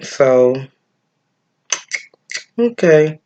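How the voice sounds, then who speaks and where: A man with a Midwestern accent giving a casual breathing lesson, laid-back and chatty, often pausing with fillers as he searches for a name. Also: on the high side, sing-song, a little mellow, lo-fi sound, a little hiss or hum. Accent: Midwestern American. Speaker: breathwork and yoga teacher. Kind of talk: casual spiritual teaching, rambling.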